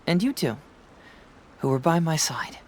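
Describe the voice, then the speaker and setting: A woman playing an easygoing, inquisitive young detective in a video game, musing theatrically and speaking with smooth persuasion over music. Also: deep voice, expressive, a little slow, heavy background noise. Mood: wistful, tender. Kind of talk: scripted video game dialogue. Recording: music behind the voice.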